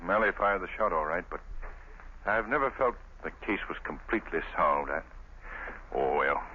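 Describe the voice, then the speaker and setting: Low, poised gentleman detective, old tinny radio broadcast. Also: animated, a little hiss or hum. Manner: measured, explanatory. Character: calm wealthy gentleman sleuth, thoughtful. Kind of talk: 1930s radio drama acting.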